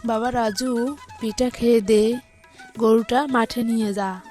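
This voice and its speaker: Woman doing a poor village mom, talks real slow, coaxing her son, bit of music behind. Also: on the high side, fairly even intonation, darkish tone. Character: poor, caring village mother of a young boy.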